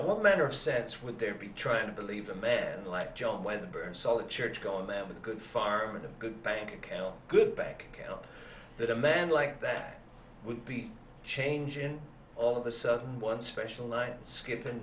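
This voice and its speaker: An actor playing a middle-aged rural sheriff in a radio play, speaking a folksy rustic dialect in a deliberate, roundabout way. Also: male voice, medium pitch, close to an everyday voice. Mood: earnest. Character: slow-talking rural sheriff, folksy, probing and insinuating. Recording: dull, roomy, faint background noise.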